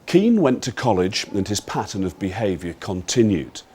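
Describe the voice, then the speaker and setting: An actor playing a measured investigator, narrating a case file in an official manner for a dramatised film. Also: male voice, lowish voice, big pitch swings, a little mellow, slightly rough. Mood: grave, factual. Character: measured male investigator recounting a troubled youth's case.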